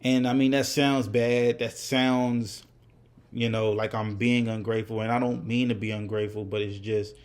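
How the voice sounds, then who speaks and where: A man's voice: mid-range voice, level tone, moderate pace. A man in his twenties talking casually to camera, candid and reflective, rambling with many fillers and restarts. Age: 20s. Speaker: vlogger. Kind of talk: casual unscripted talk to camera.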